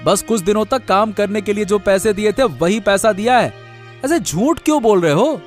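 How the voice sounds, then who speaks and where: A man's voice: high voice, expressive, moderate pace, faint music behind. A man voicing an indignant village landowner in a cartoon story, scolding and emphatic. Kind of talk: Hindi moral-story cartoon dubbing.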